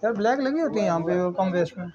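A man's voice: sits high, lively intonation, fast. A man in his thirties with a Pakistani accent, chatting casually for a vlog into a handheld phone. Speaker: vlogger. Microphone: handheld phone at arm's length. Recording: muffled phone audio, faint shop noise.

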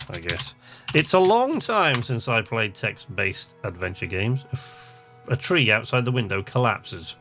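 A man's voice: fairly low pitch, vocal fry, fairly bright tone. A man casually commentating on a game he plays, chatting and muttering to himself and reading on-screen text aloud. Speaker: let's play host.

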